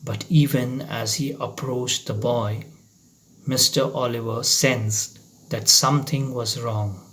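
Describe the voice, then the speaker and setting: A man reading a short story aloud in a dramatic, deliberate storytelling manner. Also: mid-range voice, steady tone, a bit bright, rough recording.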